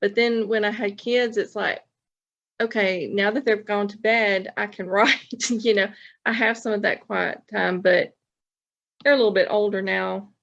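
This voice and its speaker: A woman's voice: medium pitch, steady tone, moderate pace, creaky, a bit bright, highs cut off. A woman chatting casually as a podcast guest, informal and rambling, often restarting her sentences. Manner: informal, rambling, self-interrupting. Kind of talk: casual chat.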